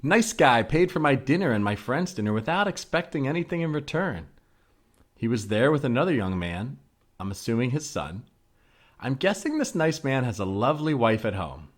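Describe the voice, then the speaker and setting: A man's voice: medium pitch, big pitch swings, moderate pace. A man co-hosting a comedy podcast, chatting casually and reading out ads.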